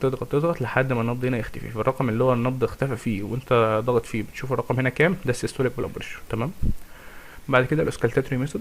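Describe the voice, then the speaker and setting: A young man with an Egyptian Arabic accent giving a fast exam revision lecture on medicine, with many fillers and restarts, and often asking his listeners questions. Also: medium pitch. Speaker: medical tutor.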